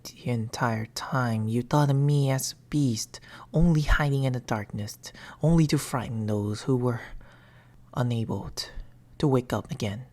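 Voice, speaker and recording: Woman as a very low, whispery male demon. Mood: wounded, brooding. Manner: slow, hushed, formal, often slipping into whispers. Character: patient, mournful male sleep paralysis demon, coaxing and commanding. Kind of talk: ASMR roleplay. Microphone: close binaural microphone.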